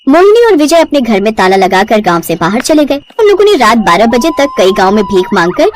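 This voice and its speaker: High-pitched, animated woman storyteller. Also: brisk. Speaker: story narrator. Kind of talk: scripted storytelling narration for a cartoon.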